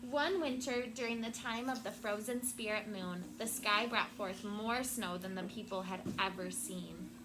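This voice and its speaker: Woman, schoolteacher, storybook read-aloud, expressive deliberate phrasing, middle pitch, clearly noisy classroom recording. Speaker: elementary school teacher. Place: indoor classroom with children.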